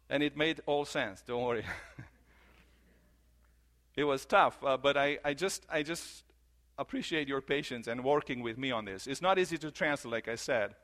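A man preaching a sermon in English, his second language, earnest and repeating key phrases, slowing down for emphasis. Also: mid-range voice, fairly bright tone. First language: not English. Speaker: pastor.

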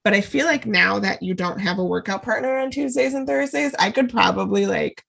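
A young woman co-hosting a podcast while getting over a cold, her voice nasal and stuffed up. A gravelly fry runs through her speech, and she stays bubbly and quick to laugh. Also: mid-range voice, fairly bright tone. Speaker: podcast co-host. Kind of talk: casual chat.

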